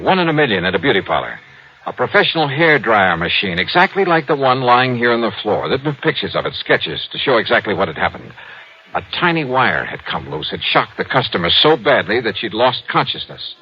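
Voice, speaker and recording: An actor playing a shrewd, suspicious insurance investigator in a 1950s radio drama, narrating and questioning in a clipped, hard-boiled way. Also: male voice, fairly low pitch, big pitch swings, fairly quick, a little hiss or hum. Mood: brooding. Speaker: investigator and first-person narrator. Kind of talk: 1950s radio detective acting. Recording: dull old radio recording, faint music.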